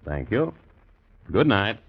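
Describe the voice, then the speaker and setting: Low-voiced, droll radio storyteller. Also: male voice, big pitch swings, slow, husky, full character voice. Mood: wry, measured. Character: droll, deliberate male storyteller presenting true crime tales. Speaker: host and narrator. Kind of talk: 1950s radio narration. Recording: dull vintage radio, loud music.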